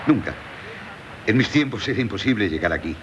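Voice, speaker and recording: An actor playing a courteous former tutor in 1970s radio theatre. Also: male voice, lowish voice, lively intonation, a little fast, close to an everyday voice. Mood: admiring, courteous. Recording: muffled, noisy 1970s radio broadcast.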